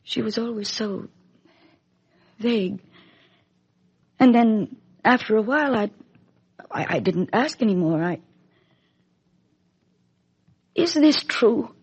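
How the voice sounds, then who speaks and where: A woman playing a hurt young adult daughter questioning her birth mother, hesitant and restrained, breathy, in 1950s radio soap style. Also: on the high side, lively intonation, unhurried, pauses often, a little mellow, exaggerated voice. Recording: dull lo-fi 1950s radio recording.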